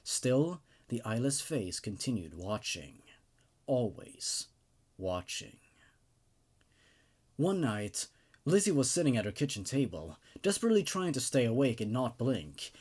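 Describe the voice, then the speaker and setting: A man hosting and narrating horror stories in a theatrical, expressive way, with casual asides. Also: medium pitch, measured pace, slightly rough. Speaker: host and narrator of a horror story reading show.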